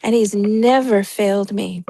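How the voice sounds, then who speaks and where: A woman's voice: mid-range voice, a little slow, mellow, dry recording, low-quality recording. A woman on a video call telling a personal story in a casual, rambling way, often stopping to search for words. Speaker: prayer group participant.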